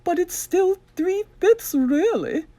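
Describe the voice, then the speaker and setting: A woman's voice: extremely high pitch, animated, takes it slowly, warm tone, voice pushed up, heavily acted. A woman voicing a dramatic, knowing madame in a children's storybook, speaking emphatically and stretching words. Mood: emphatic, knowing.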